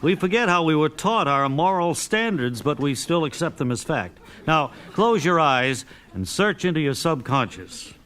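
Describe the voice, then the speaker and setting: A pedantic male psychologist, played by a comedian on a spoof radio advice show. He is probing, insistent and deadpan, with a slightly high pitch. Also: normal speed, few pauses.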